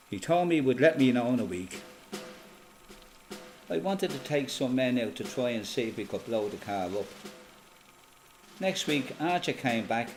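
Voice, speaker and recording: A man's voice: medium pitch, natural voice. Man playing an old Irish veteran, music behind. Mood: reminiscing, animated. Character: elderly Irish ex-freedom fighter, plain-spoken, quietly proud father. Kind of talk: radio play monologue, reminiscing as recorded testimony.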